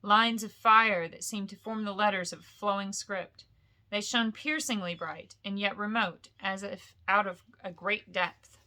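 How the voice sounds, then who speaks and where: A woman narrates a bedtime fantasy story from a book. Her voice sits at a middle pitch with a bright, forward tone. She phrases the prose deliberately and dramatically. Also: steady tone. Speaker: storyteller reading a novel aloud. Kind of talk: expressive bedtime story reading.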